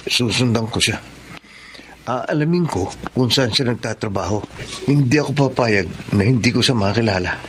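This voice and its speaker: A man acting as a pushy, smitten suitor, eager and scheming in radio soap style. His pitch is average for a man, and faint noise sits behind him. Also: natural voice, rough recording. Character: Ben, smitten, pushy male admirer. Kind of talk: Tagalog radio drama acting.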